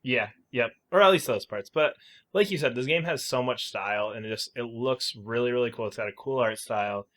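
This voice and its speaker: A man with an average-pitched voice chats as a podcast co-host. His delivery is informal and hedging, as he thinks out loud about games in a casual, conversational style. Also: brisk.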